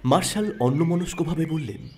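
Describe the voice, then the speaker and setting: A man narrating an audio story in an expressive, dramatic storytelling style. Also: a little high, normal speed, slight background noise. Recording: narration over background music.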